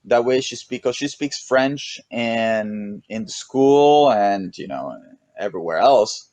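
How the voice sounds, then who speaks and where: Laid-back Mexican man with a low voice. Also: slow pace, no echo. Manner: relaxed, rambling with many restarts and "you know". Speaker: guest, pro paddle racer. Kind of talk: casual interview chat.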